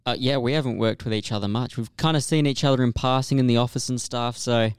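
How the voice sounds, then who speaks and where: Gravelly upbeat podcast co-host. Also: male voice, mid-range voice, steady tone, dry recording, studio quality. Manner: upbeat, chatty, quick to react. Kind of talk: casual podcast chat.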